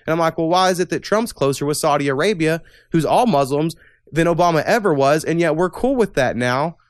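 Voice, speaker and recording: A man's voice: a little high, expressive, fairly quick, no echo, studio quality. A young man hosting a casual podcast, talking bluntly and opinionatedly and swearing freely. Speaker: podcast host. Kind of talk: casual political chat.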